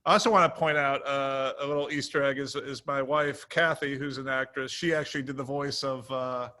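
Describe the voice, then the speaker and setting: A man speaking casually on a panel as a writer, telling stories in an animated way and acting out quoted remarks. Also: medium pitch, brisk.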